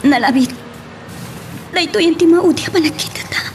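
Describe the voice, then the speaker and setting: A high-pitched, plaintive young woman in love speaks in a romantic radio drama. She sounds heartbroken, with music clearly playing behind her. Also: moderate pace. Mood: hurt, pleading.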